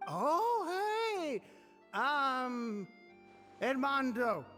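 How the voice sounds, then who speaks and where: A man's voice: very high voice, lively intonation, drawn-out words, sharp tone, big-room sound, soft background music, exaggerated voice. A man voicing a jovial drunk businessman in a video game, loose, drawling and over-familiar. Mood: cajoling. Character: jovial, slurring drunk male business pal. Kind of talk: scripted video game dialogue.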